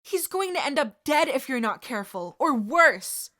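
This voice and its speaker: A woman voicing an angry, defiant teenage boy wizard who shouts and swears in exasperation. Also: high voice, sing-song, moderate pace, pitched above the performer's own voice, full character voice. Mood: yelling.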